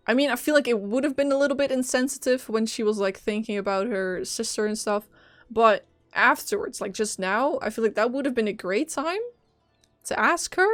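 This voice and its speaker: Female game streamer, casual expressive commentary, highish voice, light music underneath. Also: no echo. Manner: informal, expressive. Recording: faint game music behind. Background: faint game music.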